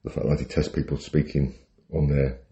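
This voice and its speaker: A British man with a very deep, mellow voice co-hosts a casual podcast. He tells stories in a wry, rambling style. The audio is slightly dull and lo-fi. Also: level tone, fairly quick. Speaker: podcast co-host. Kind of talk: casual chat, telling anecdotes.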